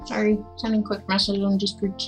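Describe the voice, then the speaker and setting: A woman's voice: mid-range voice, soft background music. A woman hosting a livestream, reading stories aloud expressively and chatting casually in between. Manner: measured, expressive reading; playful, chatty asides. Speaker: livestream host reading classic tales aloud. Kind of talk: story reading aloud with casual chat asides.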